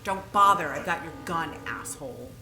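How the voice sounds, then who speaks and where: An actress playing a sarcastic, foul-mouthed escaped prisoner in an audio drama, defiant and biting. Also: female voice, low voice, animated, normal speed, reverberant, music in the background, rough recording. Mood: defiant, bitter.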